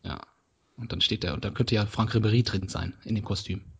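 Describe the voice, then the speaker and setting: A man's voice: lowish voice, brisk, vocal fry, breathy edge, muffled recording. A man co-hosting a casual chat podcast who tells jokey anecdotes about football mascots. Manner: joking, storytelling. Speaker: podcast co-host.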